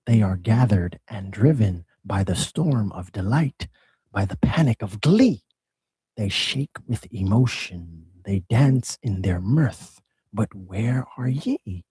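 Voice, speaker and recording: A man reading the part of unseen spirits who greet the dawn, lyrical and husky. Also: fairly low pitch, warm tone, no echo, exaggerated voice.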